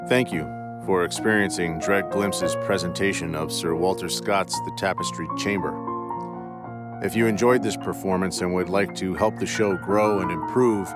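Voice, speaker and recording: A man hosting a podcast and narrating a classic ghost story in a measured, theatrical way. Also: low voice.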